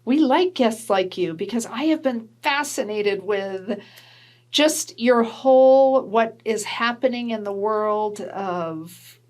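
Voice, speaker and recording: A woman host from New Hampshire with a slightly high voice. She talks in a chatty, encouraging way and keeps affirming her guest. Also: slow pace. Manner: warm, chatty, frequent affirmations. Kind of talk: interview hosting.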